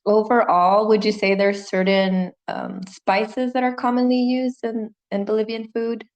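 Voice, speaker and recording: A woman's voice: mid-range voice, little pitch movement, a little slow, darkish tone. A woman hosting a podcast interview in a casual, conversational way, on a slightly dull recording. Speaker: podcast host.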